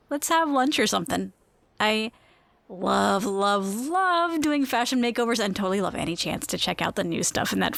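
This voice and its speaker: A woman's voice: medium pitch, vocal fry, fairly bright tone, no echo. A woman with a mid-range voice and a bubbly, rambling manner carries this scripted audio drama. The sound is dry and close, with no room around it. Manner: bubbly, chatty, rambling asides. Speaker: in-world radio host.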